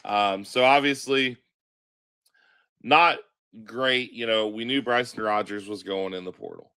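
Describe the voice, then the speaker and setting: A man hosting a casual sports podcast, sounding stuffy from a cold, chatting in a relaxed way. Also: lowish voice, a little slow, clean tone. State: stuffy, congested. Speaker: podcast host.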